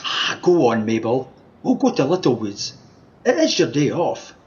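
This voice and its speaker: A man's voice: medium pitch, very animated, moderate pace, natural voice. Scottish wish-granting tramp male amateur actor, radio play. Cajoling, theatrical, swooping intonation, slightly muffled remote recording. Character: pleading, mischievous male wish granter disguised as a scruffy tramp. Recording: dull remote home recording.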